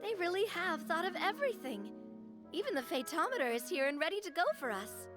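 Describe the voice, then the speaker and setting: An actress playing a cheerful, bubbly young woman in a video game, quick to laugh and curious. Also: extremely high pitch, very animated, music in the background.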